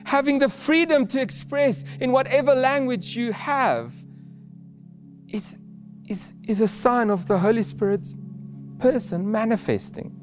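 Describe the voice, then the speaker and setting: A man whose first language is Afrikaans, preaching an earnest sermon with restarts and soft laughs. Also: very high voice, animated, a little slow, soft background music.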